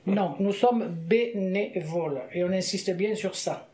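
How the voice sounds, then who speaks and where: A man's voice: high voice, slow, dark tone. An older retired man who volunteers at a village library, speaking wryly in short emphatic bursts in a casual interview.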